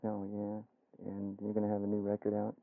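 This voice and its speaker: Man, American radio interviewer, creaky voice, monotone, low-key and conversational, thin phone-like vintage broadcast sound. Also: fairly quick, no echo. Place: radio studio.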